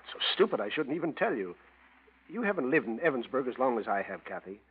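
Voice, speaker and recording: An actor in 1940s radio acting, playing a gentle, worried middle-aged husband who stammers and hesitates. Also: male voice, mid-range voice, big pitch swings, fast talker, husky. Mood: hesitant. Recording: muffled old radio broadcast with faint hiss.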